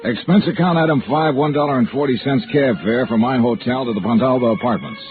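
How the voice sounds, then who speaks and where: An American actor playing a wry, hard-boiled insurance investigator in an old-time radio detective drama, clipped in delivery. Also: male voice, medium pitch, fast, phone-quality sound, natural voice. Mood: dry narration. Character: wry, tough male insurance investigator, private-eye type. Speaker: lead actor and first-person narrator. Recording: band-limited old radio recording, music cues.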